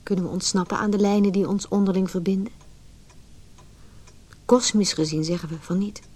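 An actress playing a philosophical narrator, giving solemn, deliberate scripted narration for a radio play. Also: female voice, medium pitch, steady tone, dark tone, slightly rough, lightly acted. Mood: pensive, ominous. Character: philosophical, ominous female narrator musing on fate.

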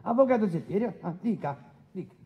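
An actor playing an impatient, domineering presiding judge in a courtroom drama, brusque and quick to interrupt. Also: male voice, high voice, lively intonation, highs cut off, a crowd in the background. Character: impatient, domineering male presiding judge, middle-aged or older. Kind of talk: courtroom docudrama acting.

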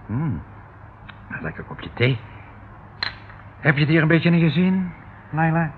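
An actor in a radio play playing a pompously cultured, patronising uncle who dotes falsely and evades every question. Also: male voice, fairly high pitch, sing-song, measured pace. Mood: grandly genial. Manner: theatrical, patronising, rambling, chuckling. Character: unctuous, evasive uncle captor, pompously cultured, falsely doting. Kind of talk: Dutch radio play acting. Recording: tinny, hissy old radio play recording.

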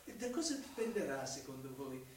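An actor playing a cunning, mocking investigator in a theatrical Italian radio drama, insinuating and menacing, swinging from hushed to loud. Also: male voice, mid-range voice, sing-song, fairly quick, lightly acted. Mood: probing, sociable. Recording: very echoey, distant microphone, faint noise.

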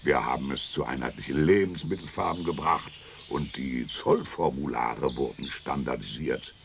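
An actor playing an ironic European thinker who lectures emphatically in a radio play. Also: male voice, moderate pace, creaky, noisy background. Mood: lecturing. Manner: emphatic, lecturing, swings pitch widely.

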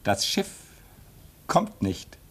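A man's voice: extremely slow, fairly bright tone. An actor playing a restless, resentful man in his twenties in a 1950s German radio drama, with a clipped, insistent delivery. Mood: grave, tense. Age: 20s. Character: restless, resentful young man of twenty-five at polar station.

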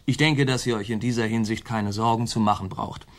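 A man's voice: on the low side, steady tone, a little fast, natural voice. An actor playing a scheming officer in a German radio drama, reporting to his superior in a deferential yet sly, insinuating way.

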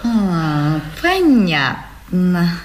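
A woman's voice: on the low side, big pitch swings, drawn-out words, exaggerated voice. An actress in a radio vaudeville playing a curious married woman, with an arch, teasing and exclamatory theatrical delivery. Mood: surprised, suspicious.

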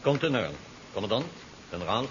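An actor playing a measured, deferential ship's doctor in a 1970s science fiction radio play. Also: male voice, lowish voice, lively intonation, close to an everyday voice. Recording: dull, noisy 1970s radio drama recording.

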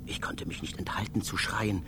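A man's voice: low-pitched, fairly even intonation, normal speed, pushed and bright, a little hiss or hum. An actor playing a startled adventurer, recounting his tale expressively as first-person radio drama narration. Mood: astonished. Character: startled male adventurer meeting his own double.

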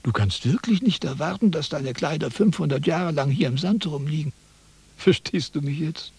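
An actor playing a pompous, self-important ancient Chinese sage in a radio drama, lecturing in a condescending, impatient way. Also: male voice, on the high side, animated, normal speed, vocal fry, breathy edge, heavily acted. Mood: lecturing, intrigued. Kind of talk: theatrical radio drama dialogue.

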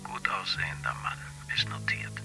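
An actor playing a dutiful male wristwatch memory assistant in a radio play, whispering everything in a flat manner and confirming each item as noted. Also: moderate pace. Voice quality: whispered, toneless. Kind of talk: radio drama acting. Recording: music under the voice.

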